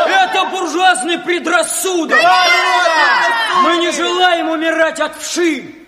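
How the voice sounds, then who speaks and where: An actor playing a fiery, naive young communist agitator shouting bombastic speeches in a radio play. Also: male voice, extremely high pitch. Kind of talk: theatrical Soviet radio play oratory. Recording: echoing hall, loud crowd and noise.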